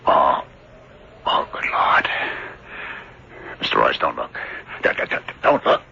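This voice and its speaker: Deep-voiced, grave small-town doctor. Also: male voice, wildly swooping pitch, vocal fry, pushed and bright, a little hiss or hum, heavily acted. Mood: horrified. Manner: dramatic, measured, hesitant in dialogue. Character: grave small-town doctor, cautious, narrating a ghost mystery. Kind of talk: radio drama acting and narration. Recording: muffled old radio recording. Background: music.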